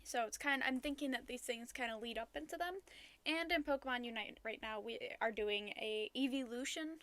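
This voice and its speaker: A woman's voice: fairly high pitch, a little fast, fairly bright tone, no room sound. A woman co-hosting a casual podcast, talking in a hesitant, hedging way with many ums and restarts. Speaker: podcast co-host.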